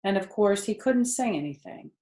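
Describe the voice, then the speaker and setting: A woman storyteller reads aloud for children in an expressive, explanatory way. Her voice has an average pitch, and it carries the echo of the room. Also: normal speed. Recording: roomy indoor echo.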